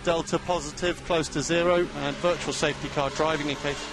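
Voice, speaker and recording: A man working as a race engineer, giving terse, measured instructions to a driver over team radio and repeating key calls. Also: on the high side, fairly even intonation, noisy background.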